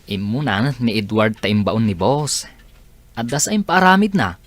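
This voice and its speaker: A man's voice: mid-range voice, lively intonation, measured pace, no echo. A man playing a puzzled, insistent young working man in an Ilocano comedy radio drama, musing and questioning.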